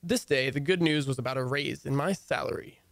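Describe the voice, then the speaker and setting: A man's voice: mid-range voice, brisk, vocal fry, no room sound, close to an everyday voice. A man playing a dry, wry radio-comedy husband. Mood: wry narration. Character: wry, long-suffering husband and office worker, narrating his story. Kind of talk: old-time radio comedy acting.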